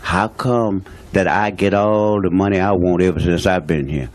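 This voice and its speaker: A man drives his points home with blunt, emphatic speech. His voice sits on the low side, and he talks in a plain, everyday way, repeating himself for weight. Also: steady tone, normal speed. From Louisiana, USA. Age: 60s. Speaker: guest speaking on a talk show. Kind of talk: impassioned talk show statement.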